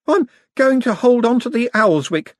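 A man's voice: high voice, huge pitch swings, a little fast, darkish tone, dry recording, studio quality, pitched above the performer's own voice, exaggerated voice. A man voicing a nervous, timid male artist.

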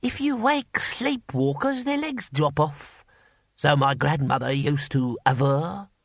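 A man voicing a fussy elderly male wizard academic, speaking slowly and primly. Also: animated, vocal fry, dry recording, studio quality, full character voice. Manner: slow, prim and high-pitched.

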